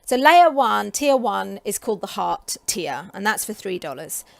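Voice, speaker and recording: A woman in her forties from England talks casually to camera, chatty and enthusiastic with frequent ums, her voice getting hoarse. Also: mid-range voice, big pitch swings, normal speed, dry recording. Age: 40s. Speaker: creative coach and vlogger.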